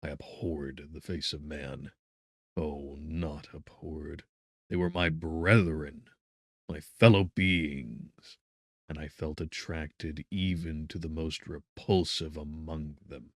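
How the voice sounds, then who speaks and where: A very deep-voiced man narrates a classic horror audiobook. His delivery is very unhurried and theatrical, moving between hushed phrases and emphatic swells. The sound is very dry and close. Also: no echo. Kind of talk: dramatic literary narration of a gothic horror novel.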